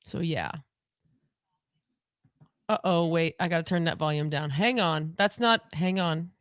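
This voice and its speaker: A woman co-hosting a casual home livestream podcast on a desk mic, talking in a rambling, chatty way and repeating herself, her voice lower than usual today. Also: unhurried, smooth, no echo, clean studio sound. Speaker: podcast co-host.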